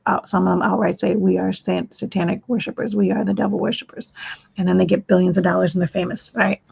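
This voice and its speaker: A woman's voice: mid-range voice, level tone, fast, creaky, a little mellow, husky, dull audio. A woman hosting a live stream, talking in a rambling way, often repeating words and restarting her sentences. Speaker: livestream host.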